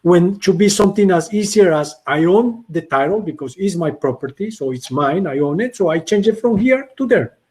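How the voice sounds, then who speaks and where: A man's voice: high-pitched, lively intonation, a little fast, few pauses, darkish tone, slightly rough. A man answering a question on an online panel, explaining at length and repeating words as he restarts. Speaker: company representative answering questions.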